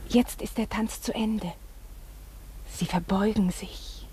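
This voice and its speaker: An actress in a radio play voicing an anxious, deferential female companion, breathy and hesitant. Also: medium pitch, steady tone, slow, mellow, lightly acted. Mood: hesitant, hushed. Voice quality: breathy, often near whispering. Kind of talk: German radio play acting.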